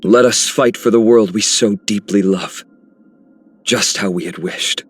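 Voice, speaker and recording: A lowish male voice for a resolute young game hero. He speaks steadily and sincerely, with earnest conviction. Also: unhurried, soft background music. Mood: resolute, wistful. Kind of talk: video game character voice lines.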